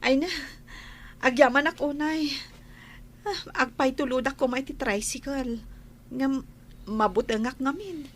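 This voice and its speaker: An actress playing a polite, hesitant, troubled village wife in a radio drama. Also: female voice, high-pitched, a little slow, long pauses, a little hiss or hum. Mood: grateful, uneasy. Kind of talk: Philippine radio drama acting.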